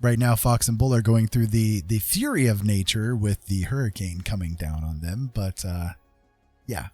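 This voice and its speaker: A man's voice: lowish voice, moderate pace, a little mellow, no room sound, soft background music. A man chatting casually as a livestream host, rambling conversationally and often checking in with his listeners. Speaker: live streamer host.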